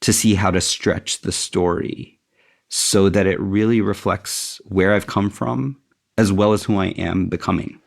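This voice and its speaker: A man's voice: low-pitched, fairly even intonation, a little slow, gravelly. A middle-aged man speaking as an interview guest, thoughtful and candid with a self-deprecating touch. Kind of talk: reflective interview conversation.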